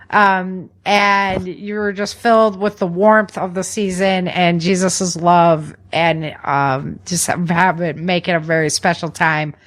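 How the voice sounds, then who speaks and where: A woman hosts a podcast in a casual, chatty style. Her voice sits at a medium pitch. She talks in an animated, folksy manner, addressing listeners directly and tossing in informal asides. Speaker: podcast host. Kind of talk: casual news chat to listeners.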